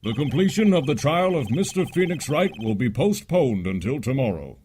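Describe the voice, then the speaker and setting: A man's voice: medium pitch, sing-song, normal speed, darkish tone, soft background music, natural voice. A man playing an elderly, formal and ponderous judge in a video game fandub. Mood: pondering, stately.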